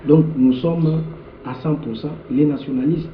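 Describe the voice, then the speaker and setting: A man's voice: a little high, fairly even intonation, measured pace. A man from the Democratic Republic of the Congo with a Congolese accent, answering an interviewer as a political leader in an emphatic way, repeating words. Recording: echoey, muffled, faint background noise.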